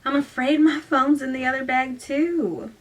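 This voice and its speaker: A woman voicing a teenage girl who fakes a sweet, demure Southern cheerleader drawl in a story, with breathy, stretched syllables and a pleading manner, recorded away from the mic. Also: sits high, steady tone, measured pace, distant mic, voice pushed up.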